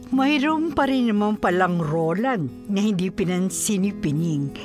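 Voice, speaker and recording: A woman's voice: mid-range voice, lively intonation, measured pace, darkish tone, close to an everyday voice. A woman acting as a wistful storyteller, giving dramatic scripted narration in a radio drama. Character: wistful female storyteller commenting on the romance. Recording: guitar music under the voice.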